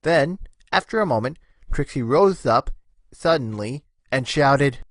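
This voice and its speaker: A man's voice: medium pitch, lively intonation, measured pace, fairly bright tone, no room sound. A man narrating a scripted story as its narrator, reading in storybook style.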